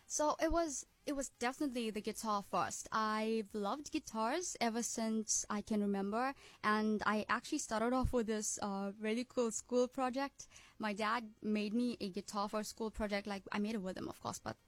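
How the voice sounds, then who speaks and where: Radio guest: bright young woman with an American-sounding accent, cheerful and chatty, slightly high, dry close mic. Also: no room sound. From South Africa, Durban. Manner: cheerful, laughs often, quick asides. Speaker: guest musician. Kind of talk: radio interview.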